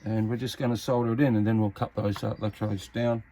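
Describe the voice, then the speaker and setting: A man's voice: fairly low pitch, level tone, mellow. Casual man giving a hands-on tutorial. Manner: informal, matter-of-fact, pausing mid-sentence while working. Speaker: hobbyist radio repairer, video host.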